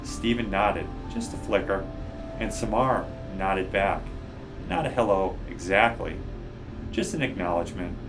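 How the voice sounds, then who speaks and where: A man reading a children's book aloud with measured, deliberate phrasing. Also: sits low. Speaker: guest storybook reader. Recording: music behind the voice.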